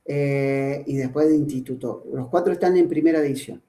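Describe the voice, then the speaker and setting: A man's voice: a little high, moderate pace, dark tone. A middle-aged man from Córdoba with an Argentine accent, a football coach explaining his ideas as a podcast guest with many fillers and restarts. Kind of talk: podcast interview conversation. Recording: roomy echo.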